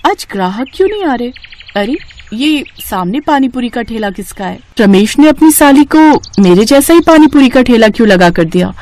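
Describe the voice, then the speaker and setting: Casting: woman as worried honest cartoon vendor woman, high pitch, lively plaintive swings. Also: moderate pace. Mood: dismayed. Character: dismayed honest woman street-food vendor.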